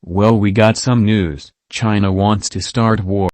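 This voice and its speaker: A man's voice: fairly low pitch, fairly even intonation, unhurried, darkish tone, no echo, close to an everyday voice. A man playing someone relaying uncertain war news in a scripted scenario. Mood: uneasy news.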